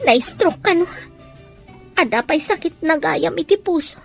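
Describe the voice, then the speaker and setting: A woman's voice: very high voice, animated, unhurried, vocal fry, a bit bright, soft background music, heavily acted. A woman playing a burdened, reproachful young eldest daughter in a radio drama, with a Filipino accent. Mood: distressed.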